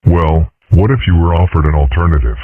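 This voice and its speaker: An eccentric, serene old cartoon dragon father, acted out by a man, speaks in measured, sagely tones. His voice is extremely deep and husky. It comes through tinny, phone-like audio. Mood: placid. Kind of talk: comic strip dub acting.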